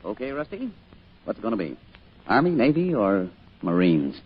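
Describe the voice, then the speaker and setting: An actor playing a middle-aged American small-town father in an old-time radio drama, gruff but kindly, with a dry, folksy manner. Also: fairly low pitch, sing-song, normal speed. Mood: gruff, fond. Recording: dull, hissy old radio recording.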